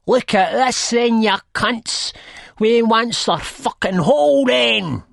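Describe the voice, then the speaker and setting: Man acting a drunk, high-pitched Scottish woman. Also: animated, slow, creaky, fairly bright tone, no echo, studio quality, exaggerated voice. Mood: angry roaring. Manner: slurred, shrieking.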